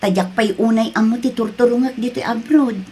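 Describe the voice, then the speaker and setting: A friendly working woman played by an actress with a fairly high, husky voice. She talks in a grateful, natural way within an acted audio drama. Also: a little fast, warm tone. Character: friendly, grateful woman working abroad, missing her child.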